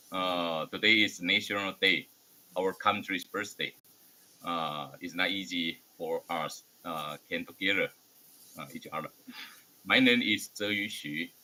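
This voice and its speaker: A man with a Taiwanese accent and Mandarin as his first language, hosting an online academic forum with a formal but halting, hesitant delivery. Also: sits low, bright, forceful tone, poor audio. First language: Mandarin Chinese. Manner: halting, hesitant non-native English delivery. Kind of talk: formal welcome speech.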